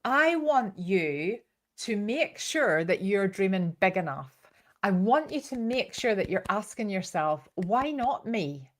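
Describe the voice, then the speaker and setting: A woman's voice: medium pitch, sing-song, slow. A woman with a Northern Irish accent giving an informal live training talk as host, chatty and given to storytelling asides. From Northern Ireland.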